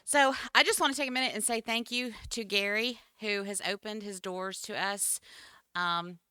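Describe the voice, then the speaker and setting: Loud-voiced woman speaking at a meeting. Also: medium pitch, fairly even intonation, moderate pace, clear, resonant tone, dry recording, studio quality. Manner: loud voice, many ums. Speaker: community group member giving thanks. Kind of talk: informal speech at a meeting.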